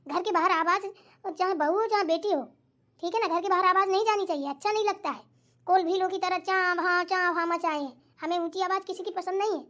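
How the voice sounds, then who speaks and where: Woman acting very high-pitched, bossy mother-in-law. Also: a little fast, fairly bright tone. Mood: stern, lecturing. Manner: chiding, lecturing. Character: scolding, bossy mother-in-law keeping household order. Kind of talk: family comedy skit acting.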